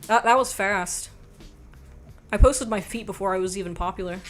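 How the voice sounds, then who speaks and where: A young woman hosts a livestream in a soft, quiet voice. She rambles through chat with frank, offhand remarks and plenty of "like". Faint music plays behind her. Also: medium pitch, normal speed. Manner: rambling, frank, laughs often, says "like" a lot. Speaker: livestream host reading chat. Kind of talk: casual chat.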